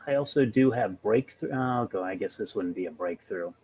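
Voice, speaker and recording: A man's voice: lowish voice, expressive, moderate pace, a little mellow, slight background noise. A man playing a tabletop role-playing game, chatting casually and tentatively, circling back over his words.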